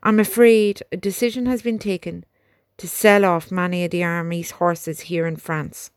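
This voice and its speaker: A woman reading aloud, voicing a reluctant male army major who delivers bad orders slowly. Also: on the low side, fairly even intonation, clean tone, no echo, clean studio sound, natural voice. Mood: resigned. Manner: slow, measured, subdued.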